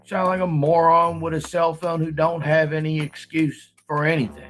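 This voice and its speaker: A man's voice: on the high side, little pitch movement, moderate pace, soft background music. A man hosting a casual commentary stream, rambling with restarts and mocking remarks.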